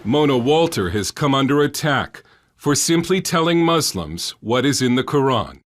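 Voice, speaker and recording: Guy doing scripted news voiceover, reporter style, medium pitched voice. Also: very animated, normal speed.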